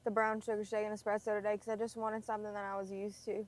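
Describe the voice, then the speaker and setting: A woman's voice: mid-range voice, level tone, fast talker, no echo. A woman, chatting casually and laughing easily in a parked car. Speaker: travel vlogger. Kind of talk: casual chat.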